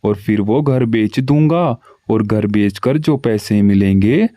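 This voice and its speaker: Cartoon crow son, greedy lazy, low mellow slow voice done by a man, dry mic. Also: dry recording. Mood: scheming. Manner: whiny pleading, scheming. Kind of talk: cartoon voice acting.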